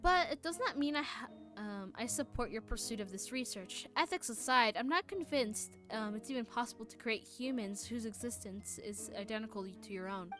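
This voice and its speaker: A woman livestreaming a game with casual commentary, muttering asides and haltingly reading text aloud, correcting herself as she goes. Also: a little high, fairly bright tone. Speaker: live game streamer. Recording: music playing behind the voice. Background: game music.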